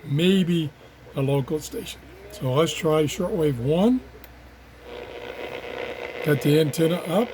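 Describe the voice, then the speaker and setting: A man's voice: a little high, takes it slowly, long pauses, darkish tone, poor audio. A man giving a casual, unscripted hands-on product demonstration as a reviewer, muttering to himself as he works in an office. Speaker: radio reviewer. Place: home office. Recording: clear background noise of radio static. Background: radio static and tuning noise.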